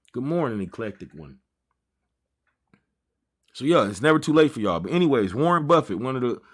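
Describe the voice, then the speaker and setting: A man in his thirties hosting a trading livestream, talking casually in loose slang and drifting off topic as he chats with viewers. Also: medium pitch. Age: 30s. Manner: loose slangy banter, easily sidetracked. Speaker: trading stream host.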